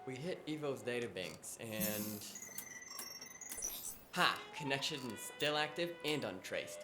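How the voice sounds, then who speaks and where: A man's voice: medium pitch, lively intonation, slow, music in the background. A man playing a flippant, sarcastic, cocky hacker in a cyberpunk audio drama. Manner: wisecracking, casual, sarcastic.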